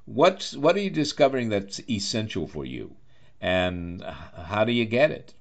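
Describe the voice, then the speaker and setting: A man's voice: on the low side, big pitch swings, moderate pace. A man hosting a radio talk show in a conversational interview style. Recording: dull band-limited radio audio.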